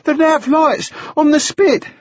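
A panicked young serving boy, pitched very high and gasping for breath. The words come in urgent, halting bursts, as in a dramatic audiobook. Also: darkish tone, no room sound, lo-fi sound. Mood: breathless alarm.

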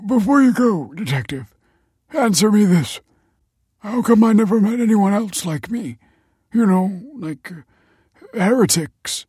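A man voicing a bitter ex-convict, strained and high. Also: animated, measured pace, long pauses, dark tone, breathy edge, dry recording, clean studio sound, exaggerated voice. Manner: tense, bitter. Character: bitter, anxious man just out of prison. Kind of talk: audiobook character voice.

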